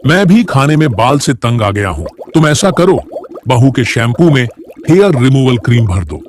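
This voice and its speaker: A man's voice: medium pitch, big pitch swings, normal speed, slight background noise, lightly acted. A man playing an older Indian father-in-law in a cartoon, emphatic and theatrical. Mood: scheming. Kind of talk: Hindi moral-story cartoon acting.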